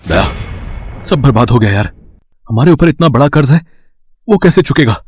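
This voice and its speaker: A man voicing an anxious, excitable young man who talks casually and dramatically to his friend in an audio story. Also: mid-range voice, moderate pace, slight background noise, lightly acted. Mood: worried. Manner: colloquial, worried, dramatic.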